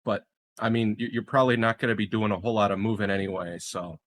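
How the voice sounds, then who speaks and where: A man's voice: on the low side, steady tone, quick pace, fairly bright tone. A man playing a tabletop roleplaying game, talking casually with the other players.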